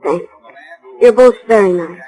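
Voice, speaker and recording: A woman's voice: medium pitch, unhurried, dark tone, natural voice, rough recording. An actress playing a guarded, polite working woman who speaks with hesitant reserve in a 1940s radio drama. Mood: reserved, subdued. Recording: vintage 1940s radio broadcast recording.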